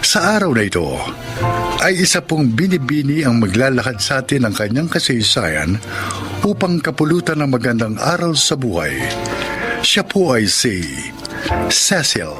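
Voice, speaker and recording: A man's voice: medium pitch, sing-song, normal speed. A man with a Filipino accent hosting a radio drama, delivering scripted, dramatic announcements. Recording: loud music bed under voice.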